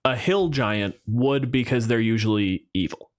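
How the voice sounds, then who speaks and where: Male podcast co-host, mid pitch, casual, wry, profane. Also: unhurried. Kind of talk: casual chat about tabletop game monsters.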